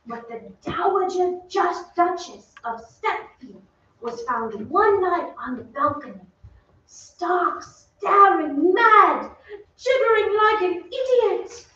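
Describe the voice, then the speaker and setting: A woman's voice: extremely high pitch, expressive, takes it slowly, pushed and bright, heavily acted. An actress playing a melodramatic lady of a haunted English castle, breathless and dramatic, in old-time radio style. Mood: eerie relish. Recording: echoey stage, distant mic, muffled, faint noise.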